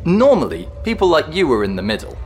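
Audio drama ghost: friendly, nervous, understated, higher-pitched and hugely expressive. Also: male voice, fast talker, faint music behind. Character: polite, slightly nervous, friendly male ghost.

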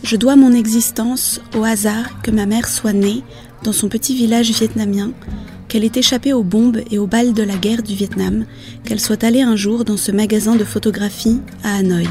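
A woman reading a literary passage aloud, slow and deliberate. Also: a little high, fairly even intonation, darkish tone, faint music behind. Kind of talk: literary reading over music.

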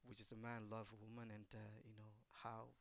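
A man, a choreographer and dancer, telling an interviewer about a creative project, speaking haltingly and searching for words. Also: lowish voice, completely flat delivery.

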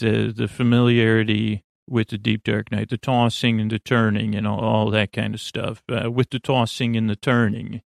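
A man hosting a bedtime podcast in a creaky voice, delivering a halting, meandering monologue meant to lull listeners to sleep. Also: mid-range voice, no echo, clean studio sound. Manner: meandering, halting, drifts off topic. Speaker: podcast host.

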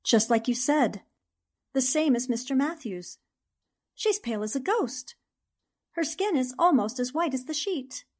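An actress playing a brave, sharp young newspaper reporter in a 1940s-style radio mystery, speaking in an earnest, theatrical way. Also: female voice, sits high, expressive, unhurried, pauses often. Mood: shaken, subdued.

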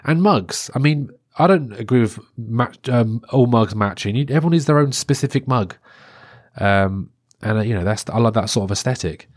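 A man in his thirties rambles through a solo podcast episode in a chatty, meandering way. His nose is stuffed up from a cold, and his medium-pitched voice sits in a dry, close recording with no room sound. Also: expressive, normal speed, breathy edge, no echo. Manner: rambling and chatty, many restarts and fillers. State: stuffy-nosed, congested. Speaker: podcast host.

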